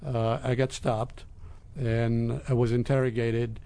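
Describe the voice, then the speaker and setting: A man in his fifties from Lebanon, answering interview questions in a halting, measured way. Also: medium pitch, level tone, creaky, mellow, smooth. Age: 50s. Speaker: interview guest, business executive.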